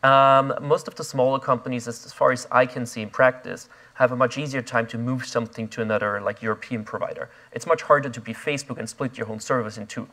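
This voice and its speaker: A young man, speaking onstage at a conference, quick and restless with many fillers and restarts, recorded on a lapel mic. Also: medium pitch, fairly even intonation, pushed and bright. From Austria. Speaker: invited speaker. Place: conference stage before an audience.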